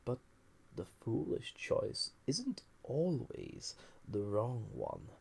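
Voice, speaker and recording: A man playing a flirtatious, dangerous banished villain, ancient and lonely, in an intimate roleplay audio drama, breathy and often half-whispered, teasing with languid pauses. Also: mid-range voice, huge pitch swings, a little mellow, exaggerated voice. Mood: charmed, sly.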